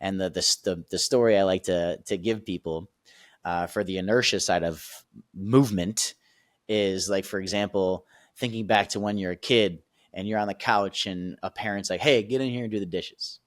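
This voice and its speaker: Male performance coach, deep voice, dry close recording, lively teaching tone. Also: moderate pace, no room sound. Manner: energetic, repeats "right?" and "okay?" often. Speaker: sales and performance coach, guest. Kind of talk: casual teaching explanation.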